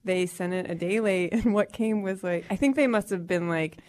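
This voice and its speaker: A woman's voice: mid-range voice, moderate pace. A woman comedian chats casually as a guest, her voice stuffy and nasal from the flu. She talks with plenty of inflection and jokes freely, and she acts out little quoted voices. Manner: expressive, joking, acts out quotes. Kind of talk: casual chat with a friend.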